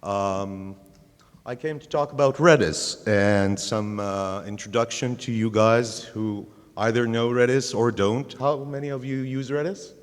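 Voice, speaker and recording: A man's voice: mid-range voice, a little slow, smooth. A middle-aged man with an Israeli accent giving a casual conference tech talk on a handheld mic, with lots of fillers. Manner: casual, self-deprecating, many fillers. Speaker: conference speaker. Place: large conference hall. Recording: long hall reverb.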